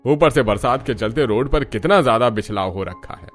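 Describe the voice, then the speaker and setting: A man's voice: mid-range voice, lively intonation, normal speed, faint music behind, lightly acted. A man voicing a middle-aged, food-loving father-in-law who grumbles and exaggerates in a family cartoon. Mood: weary grumbling.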